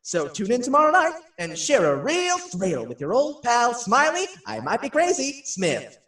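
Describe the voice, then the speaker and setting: An actor playing a brash, excitable 1940s radio disc jockey, chatty and showy, full of teasing questions. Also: male voice, extremely high pitch, moderate pace, noisy background, exaggerated voice. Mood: teasing, excited. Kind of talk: old-time radio DJ patter, radio acting. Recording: roomy echo, distant, music behind.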